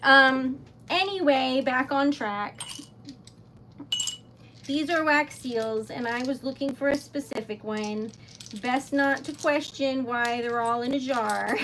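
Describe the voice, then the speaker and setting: A woman's voice: sits high, slow, noisy background. A woman with a Rhode Island accent hosting a casual craft livestream, chatting to her viewers, laughing often and rambling as she goes. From New England. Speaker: livestream host. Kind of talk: casual chat while crafting.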